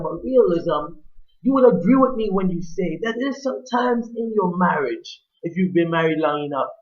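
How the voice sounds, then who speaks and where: High-voiced man preaching in slow, measured phrases. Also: dull audio. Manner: emphatic, oratorical, ornate wordy diction. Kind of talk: sermon with rhetorical repetition.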